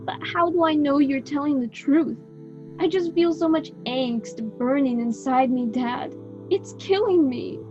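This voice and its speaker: A woman's voice: high-pitched, a little slow. A woman playing an angsty, whining teenage son of a god in an amateur student radio drama. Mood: sulky angst. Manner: sulky, whining. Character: angsty teenage demigod son begging for a chariot. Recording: dull lo-fi recording with loud music. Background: music.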